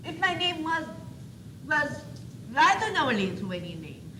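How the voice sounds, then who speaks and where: A woman's voice: sits high, sing-song, unhurried, sharp tone, full character voice. Haughty baby boy doll, played by a female student, put-on French accent, theatrical and swooping, on an echoey, noisy old school tape. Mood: indignant, pompous. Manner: pompous, theatrical. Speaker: student actor in a school play. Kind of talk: stage one-act comedy acting.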